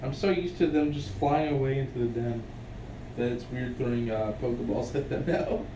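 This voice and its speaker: A man's voice: medium pitch, fairly even intonation, normal speed. Congested young guy rambling on stream. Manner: rambling, offhand, talks to himself. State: congested, sniffling. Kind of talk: casual stream chatter while playing. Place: room at home. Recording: echoey room, mic at a distance, background noise.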